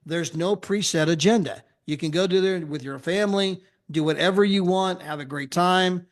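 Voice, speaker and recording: An upbeat American man with a fairly high voice pitches travel deals to a crowd of listeners. He talks energetically and keeps tossing in "right?" and "okay?" to hold their attention. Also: moderate pace. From United States. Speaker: travel club presenter. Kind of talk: sales pitch presentation.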